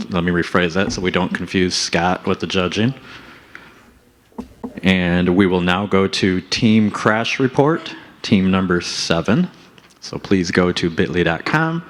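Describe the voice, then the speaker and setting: A man's voice: sits low, a bit bright. A man emceeing a hackathon presentation session on a handheld mic, making loose joking asides. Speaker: event emcee. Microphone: handheld microphone.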